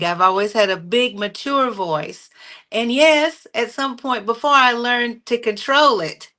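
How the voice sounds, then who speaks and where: A middle-aged woman, a singer, talking casually with many fillers as she tells stories of her career in an interview. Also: medium pitch. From North Carolina. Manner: animated, warm storytelling with frequent fillers. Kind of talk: conversational storytelling.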